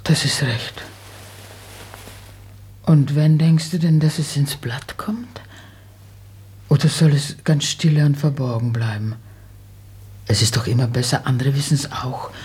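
Anxious old mom in a radio play, super husky breathy voice, timid, kinda hesitant. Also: female voice, extremely low pitch, steady tone, a little slow, pauses often, darkish tone, full character voice. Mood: timid, agreeing. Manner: worried, simple, hesitant. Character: anxious, timid, fretful elderly mother. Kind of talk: German radio drama acting.

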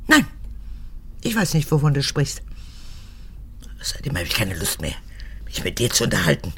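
A woman's voice: wildly swooping pitch, normal speed, a bit bright, heavily acted. An actress playing a capricious, manipulative old woman, an unrepentant former camp guard, in a radio drama, with theatrical swings between whispers and outbursts. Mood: defiant, peevish. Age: older. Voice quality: husky, gravelly, low.

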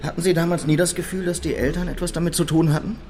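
An actor as a higher-voiced, formal detective. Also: male voice, steady tone, fast, lightly acted. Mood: formal, inquiring. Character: formal, methodical police detective. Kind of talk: German radio drama acting.

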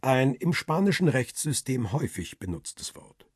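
An actor playing a wary jailed paparazzo who narrates his own radio crime drama in a husky voice. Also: medium pitch, very animated, measured pace, no room sound, clean studio sound, exaggerated voice. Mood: tense, observant.

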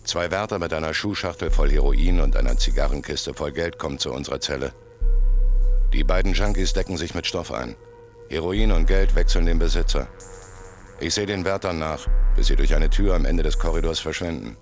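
Hard gangster, low-pitched, laconic, fragmentary first-person narration, dark radio drama, music underneath. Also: male voice, a little fast. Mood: grim, detached. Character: Dutch, a hard, laconic male gangster remembering and rambling.

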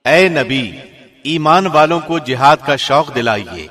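A man reading a scripture translation from a script in a measured, formal announcer's delivery. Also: fairly high pitch, animated, fairly bright tone. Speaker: translation reader, radio host.

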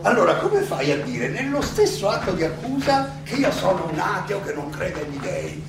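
A man's voice: high voice, very animated. A male stage actor playing an elderly, ironic and indignant Athenian philosopher who argues his own defense in a theatrical, insistently questioning monologue. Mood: deliberate, sardonic. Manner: rhetorical, halting then insistent, questioning. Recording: echoey, distant, noisy live stage recording.

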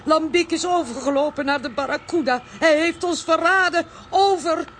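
Woman acting a high-pitched bossy, feisty aunt. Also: moderate pace, a little hiss or hum, lightly acted. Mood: dramatic, defiant. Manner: commanding, theatrical. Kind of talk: children's radio play acting.

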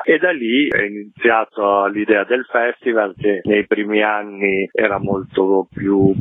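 Guy being interviewed on radio, lowish flat voice, talks measured and explains stuff, dry close sound. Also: no echo, phone-quality sound. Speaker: festival artistic director being interviewed.

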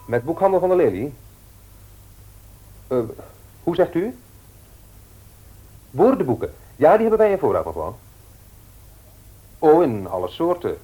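Courteous married male bookseller for radio drama: animated, husky, hesitant, eager to serve. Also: on the high side, exaggerated voice, poor audio. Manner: polite, hesitant salesman's patter with small chuckles. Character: obliging, polite bookshop owner, a married man. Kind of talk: radio play acting. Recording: old radio drama recording.

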